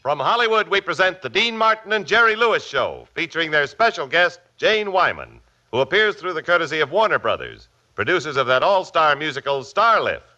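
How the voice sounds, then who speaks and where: An American man announces in formal, emphatic 1950s radio style, his fairly high voice rising and falling with lively swings. The recording is old and muffled, with music behind him. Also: fairly quick, noisy background. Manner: formal, emphatic showman delivery. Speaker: radio show announcer and sponsor pitchman.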